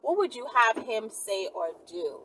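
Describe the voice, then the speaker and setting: Woman, higher voice, casual sassy vlogger, emphatic phrasing, off-mic, clearly noisy background. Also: very animated, a little slow, a bit bright. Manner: sassy, emphatic, often adds "okay?" and "you know". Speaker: video host answering viewer questions. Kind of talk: casual chatty monologue to camera. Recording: noisy, distant mic, vehicle-like ambience.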